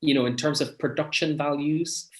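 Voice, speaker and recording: A man's voice: fairly high pitch, steady tone, normal speed. A man who performs in pantomime, telling anecdotes in a casual chat.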